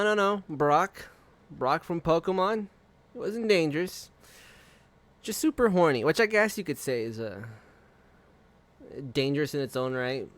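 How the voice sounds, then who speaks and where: A man's voice: on the high side, a bit bright, clear, resonant tone. A man streaming live, chatting casually and rambling with frequent swearing and laughter. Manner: profane, rambling, laughs often.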